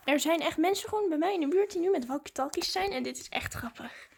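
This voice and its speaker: A woman. She talks like a vlogger, in casual, excited chat aimed at her viewers. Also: very high-pitched, fast, gravelly.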